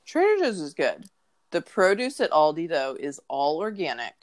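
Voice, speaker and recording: Congested low-voiced woman. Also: big pitch swings, normal speed. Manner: joking, wry asides. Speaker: podcast co-host. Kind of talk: casual chat.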